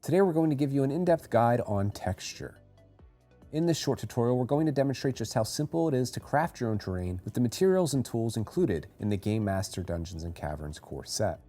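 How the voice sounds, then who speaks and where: A man reading a scripted how-to voice-over as a tutorial narrator, giving clear step-by-step instructions. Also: mid-range voice, lively intonation, brisk, warm tone, faint music behind.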